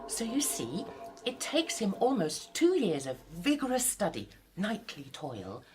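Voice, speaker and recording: An actress playing an intense, weary young woman writer in a live radio drama, telling her tale dramatically with a husky voice. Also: mid-range voice, wildly swooping pitch, a little slow, over loud music. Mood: absorbed.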